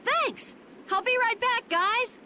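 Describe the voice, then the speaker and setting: A woman voicing an earnest, excitable young boy adventurer in a video game. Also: very high voice, takes it slowly, a little hiss or hum, full character voice. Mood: eager. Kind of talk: animated video game dubbing.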